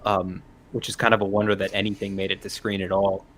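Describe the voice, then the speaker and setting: A man's voice: low voice, little pitch movement, fast talker, a bit bright, a little hiss or hum. A man on a panel talking casually but carefully, often qualifying and correcting himself as he goes. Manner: careful, hedging, self-correcting. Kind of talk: casual chat. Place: convention panel room.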